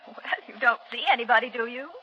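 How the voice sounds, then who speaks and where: High-pitched, spirited young lady. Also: level tone, fast, bright, forceful tone, breathy edge. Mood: anxious. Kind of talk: 1930s radio acting. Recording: muffled, faintly noisy 1930s radio recording.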